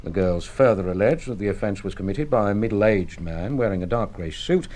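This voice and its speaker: Low-voiced, methodical British police sergeant played by a man. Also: animated, a little mellow, slight background noise, natural voice. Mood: formal, grave. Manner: formal, measured, hesitant official courtesy. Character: polite, methodical, insistent male police detective sergeant. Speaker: actor in radio drama. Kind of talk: British radio drama acting.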